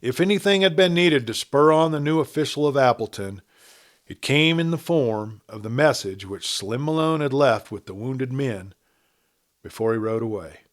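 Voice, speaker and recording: A man's voice: mid-range voice, animated, normal speed. A man reading a western story aloud as a scripted narrator. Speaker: audiobook narrator.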